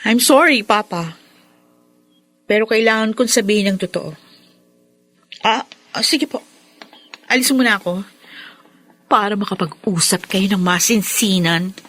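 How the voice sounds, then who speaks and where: An actress playing a frank young daughter in a radio drama. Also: female voice, mid-range voice, measured pace, pauses often, a bit bright, soft background music. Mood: apologetic. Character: frank young woman, secret daughter of the father.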